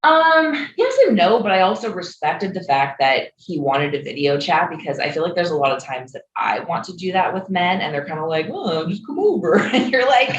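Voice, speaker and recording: A woman's voice: lowish voice, big pitch swings, normal speed, hardly any pauses, roomy sound, highs cut off, a little hiss or hum. A woman with a Midwestern American accent chatting casually about dating. She giggles easily and fills her talk with "like.". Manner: giggly, lots of "like" fillers. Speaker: podcast guest.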